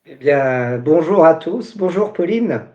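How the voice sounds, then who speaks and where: A man in his forties hosts a relaxed interview chat. He jokes and fills his sentences with hesitations, and his voice has a mellow, dark tone. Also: a little high, slow, muffled recording. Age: 40s. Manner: joking, chatty, many fillers. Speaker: host.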